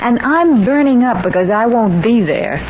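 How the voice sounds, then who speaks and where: An actress playing a brisk, teasing woman reporter in an old radio crime drama. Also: fairly high pitch, expressive, close to an everyday voice. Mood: breezy. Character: sharp, breezy woman newspaper reporter. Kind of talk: 1940s radio drama acting. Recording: dull old radio recording with hiss.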